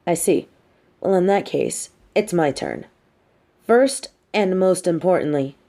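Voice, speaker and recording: A woman's voice: sits low, warm tone. A woman playing a smug, sardonic but sympathetic female supervillain in an audio roleplay, speaking articulately. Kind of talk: dramatic audio roleplay monologue.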